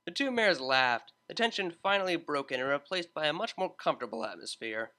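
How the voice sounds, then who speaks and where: Expressive fan-fiction narrator. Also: medium pitch, a little fast. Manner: steady storytelling narration. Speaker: audiobook narrator. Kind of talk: reading fan fiction aloud.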